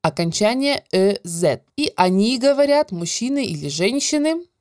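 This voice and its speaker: A woman teaches French grammar in a clear, measured way, drawing out the example words. Her voice sits at a middle pitch. The sound is dry and close, with no room echo. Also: very animated, darkish tone. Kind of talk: scripted grammar lesson narration.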